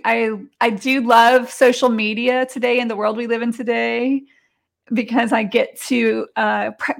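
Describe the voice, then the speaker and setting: A woman's voice: on the high side, gravelly, fairly bright tone. A middle-aged woman chatting casually as an interview guest, telling personal stories. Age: 40s or 50s.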